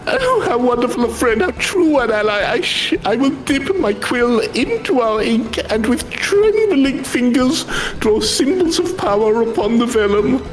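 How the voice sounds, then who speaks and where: Give the shrill voice of a vain little fantasy-game schemer. It is very high and swoops wildly, gloating in florid, archaic phrases, with music underneath. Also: male voice, big pitch swings, measured pace, echoey room, full character voice, poor audio. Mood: gleeful, eager.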